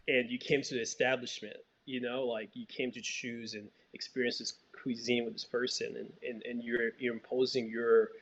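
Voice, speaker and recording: Haiti-raised filmmaker, hesitant, thoughtful interviewee, mid-low voice, slightly muffled recording. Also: male voice, fairly bright tone. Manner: thoughtful, hesitant with many fillers and restarts. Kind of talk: interview answers.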